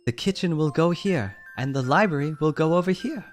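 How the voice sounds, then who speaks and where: A man's voice: a little high, expressive, a little fast, soft background music, voice pushed up, lightly acted. A man voicing a cheerful, determined little girl traveling through space, in an eager, rising voice, for a read-aloud storybook. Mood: busy. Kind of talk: storybook reading on a stream.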